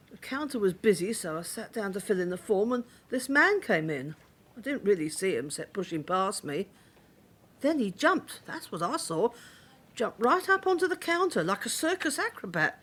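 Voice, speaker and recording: A woman's voice: mid-range voice, sing-song, moderate pace, a bit bright, slightly rough. A woman acting a flustered, indignant ordinary witness in a radio drama, rambling and defensive. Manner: rambling, defensive, matter-of-fact.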